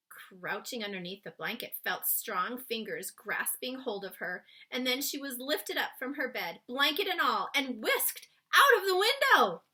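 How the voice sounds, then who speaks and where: A woman reading a children's story aloud in an expressive, suspenseful way, stretching key words for emphasis and chatting to young listeners. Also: high-pitched. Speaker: children's book read-aloud reader.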